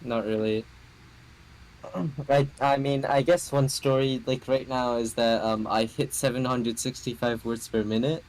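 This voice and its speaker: A man's voice: mid-range voice, steady tone, measured pace, darkish tone, rough recording. A young man co-hosting a casual podcast, telling a story in a hesitant, filler-heavy way with many restarts. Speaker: podcast co-host. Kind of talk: casual chat.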